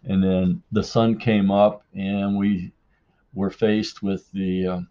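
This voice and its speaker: Slow, low-voiced man on a dull recording. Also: flat delivery. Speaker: podcast listener leaving a voicemail story. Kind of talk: casual personal storytelling.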